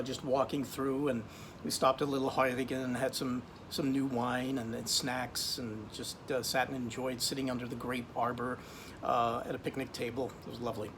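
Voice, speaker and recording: A middle-aged man from Austria, casually presenting a wine in a relaxed, chatty way, full of ums and restarts. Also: mid-range voice, a little hiss or hum. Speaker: wine shop buyer. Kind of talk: casual wine tasting video.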